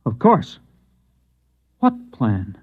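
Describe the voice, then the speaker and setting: An actor playing an earnest, bewildered Martian trapped in a husband's body, halting yet insistent, in a mid-century radio drama. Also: male voice, mid-range voice, huge pitch swings, breathy edge, full character voice. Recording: dull lo-fi old radio recording.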